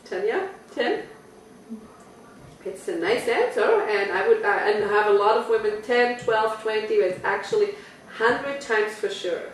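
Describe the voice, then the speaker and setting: A woman's voice: mid-range voice, animated, unhurried, a little hiss or hum. A woman with an Austrian accent leads a casual product talk in a private living room. She explains step by step and often turns to her audience with questions. The sound is roomy and echoey, and she is caught from some distance away. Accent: Austrian German. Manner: chatty and explanatory, asks listeners questions. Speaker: product demonstrator. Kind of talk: home party product talk.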